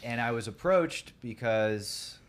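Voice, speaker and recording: A young man from Ohio with a deep voice, a podcast guest telling his story in a rambling, laid-back way full of fillers. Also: animated, slow pace. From Columbus, Ohio. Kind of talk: casual podcast chat.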